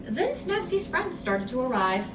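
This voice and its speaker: Female storybook narrator: expressive, slow and suspenseful, with an echoey, slightly distant recording. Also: high-pitched, over music. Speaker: storybook reader. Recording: echoey room, distant from mic.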